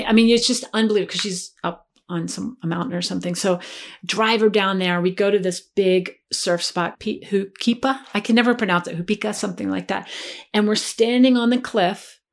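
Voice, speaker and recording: A woman in her forties tells a long story as a guest in a relaxed conversation. She talks in an animated, chatty way, with a mid-range voice. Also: medium pitch. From Maryland, USA. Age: 40s. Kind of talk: storytelling in a casual chat.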